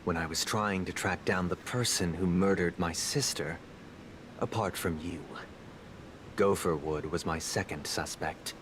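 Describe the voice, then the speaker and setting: Guarded investigator, very low voice, solemn, breathy at times, video game dialogue, faint background noise. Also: male voice, measured pace, a bit bright. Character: solemn, guarded brother investigating his sister's murder.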